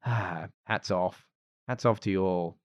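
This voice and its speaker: An Australian man co-hosting a podcast in casual, playful chat, laughing often and muttering quiet asides. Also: low voice, measured pace, no room sound, highs cut off. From New South Wales, Australia. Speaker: podcast co-host.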